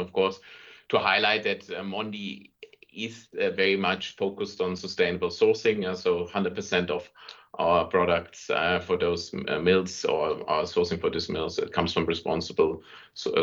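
A middle-aged German-accented man with a very low voice. He speaks conversationally as an interview guest, in halting phrases, on a slightly dull, lo-fi recording. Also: steady tone, a bit bright. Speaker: interview guest, marketing and sales director. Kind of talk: conversational business interview answers.

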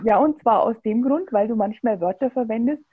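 A woman's voice: a little high, fairly quick, breathy edge, narrow, tinny audio. A woman interviewing a guest in a casual talk, appreciative and enthusiastic, with some fillers.

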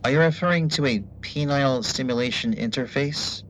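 An actor playing a prim, officious bureaucrat in a radio play, formal and pedantic with stiff politeness. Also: male voice, mid-range voice, brisk, slight background noise, lightly acted, low-quality recording. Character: prim, officious male bureaucrat running a facility's intercom service.

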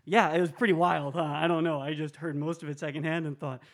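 A woman plays a storyteller with a slightly low voice. She rambles in an offhand way, in improvised radio comedy style. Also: fairly even intonation, fast.

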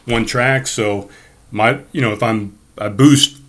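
A man hosting a casual podcast from his home studio, talking with a big, projecting voice and a rambling, relaxed flow. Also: medium pitch, takes it slowly. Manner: loud, projecting voice. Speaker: podcast host. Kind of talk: casual chat. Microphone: studio mic on a board.

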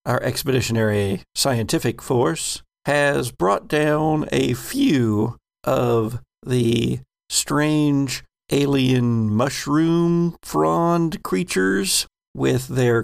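A man's voice: mid-range voice, gravelly. A man game master narrating a tabletop game in a descriptive, conversational manner.

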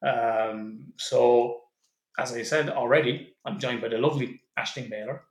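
A man's voice: mid-range voice, steady tone, a little slow. Man, podcast host, casual teasing banter, mid-range voice, roomy echo. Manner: swearing, laughing, teasing banter.

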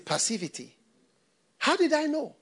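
A man's voice: very high-pitched, very animated, moderate pace, fairly bright tone. An older man from Ghana, a pastor, preaching a sermon with repeated phrases and rhetorical questions.

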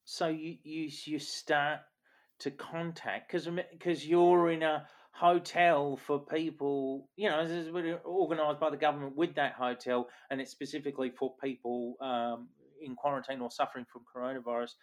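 A man hosts a relaxed chat podcast. He talks in a friendly, loose way, swears freely, and sums up what his guest has just told him. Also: fairly high pitch, moderate pace. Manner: friendly, swears casually, recaps the guest's story. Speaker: podcast host. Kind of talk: casual conversational interview.

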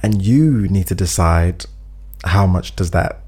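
A man's voice: low-pitched, slow, mellow, breathy edge. A man co-hosting a casual chat, giving earnest advice and acting out example speeches, a bit phlegmy. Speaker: podcast co-host giving advice.

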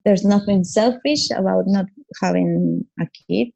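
A woman's voice: medium pitch, a little slow, gravelly, mellow, highs cut off. A woman in her forties from Peru, a podcast guest talking frankly and plainly, laughing often. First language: Italian. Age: 40s. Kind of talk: casual interview chat.

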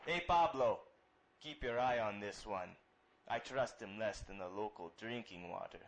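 A man's voice: fairly low pitch, normal speed, natural voice. A man playing a menacing, scornful Colombian policeman who sneers his lines in an amateur radio drama. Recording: loud music over the voice.

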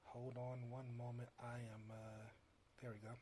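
A soft-spoken man being interviewed as a music producer, talking casually with many fillers and restarts. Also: medium pitch, flat delivery, measured pace, a little hiss or hum.

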